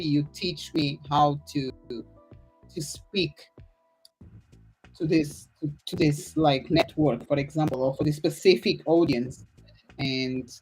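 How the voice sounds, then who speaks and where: A woman's voice: sits low, measured pace, darkish tone, husky, faint music behind, poor audio. A woman from Portugal with a Portuguese accent, a podcast host who speaks English as a second language, laughing often and searching for words. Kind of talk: casual interview chat.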